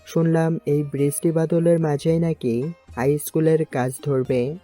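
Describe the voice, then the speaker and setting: A man's voice: fairly high pitch, fairly even intonation, measured pace, mellow, soft background music, lightly acted. A man playing a kindly older village schoolteacher, fatherly and welcoming, in a romantic audio story. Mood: proud.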